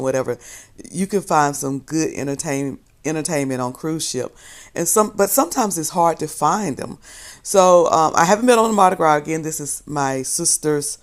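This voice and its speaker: A hoarse woman vlogger chatting casually to her viewers, rambling and correcting herself as she goes. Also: low-pitched. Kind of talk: casual chat to camera.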